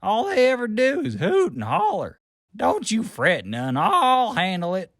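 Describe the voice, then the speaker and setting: A woman voicing a gruff, swaggering male chicken rancher with a dismissive drawl. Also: mid-range voice, huge pitch swings, a little slow, no room sound, studio quality, heavily acted.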